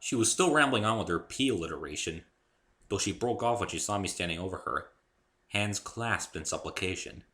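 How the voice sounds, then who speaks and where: Casting: male amateur narrator for dramatic fiction, expressive, lowish voice with a bright, forceful edge. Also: fairly quick. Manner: expressive, theatrical. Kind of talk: dramatic first-person story reading.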